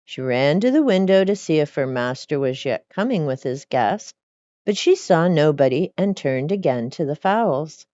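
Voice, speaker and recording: A woman's voice: low-pitched, lively intonation, moderate pace, warm tone, no echo, dull audio. A woman reading a storybook aloud expressively, with casual, chatty asides. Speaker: storyteller reading fairy tales.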